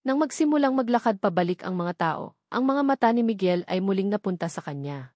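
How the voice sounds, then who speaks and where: A woman narrates a scripted romance drama. Her voice sits at an average female pitch. The sound is dry, with little room around it. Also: expressive, fairly quick, a little mellow, dry recording.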